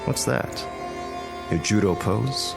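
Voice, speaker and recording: A man's voice: lowish voice, slow pace, vocal fry, over music. An actor playing a proud, wounded young Japanese-American man in a radio drama, terse, cutting and confrontational. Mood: cold contempt. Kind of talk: dramatic radio play acting.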